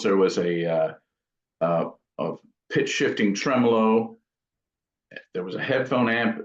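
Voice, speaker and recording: Low-voiced man in a roomy, dull recording. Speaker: guitar amp builder being interviewed. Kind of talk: casual interview answers.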